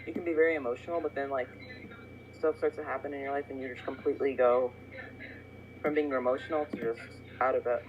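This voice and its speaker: A man's voice: mid-range voice, steady tone, fast, lo-fi sound, a little hiss or hum. A man chatting casually on a podcast, jokingly chiming in with short remarks. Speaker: podcast co-host.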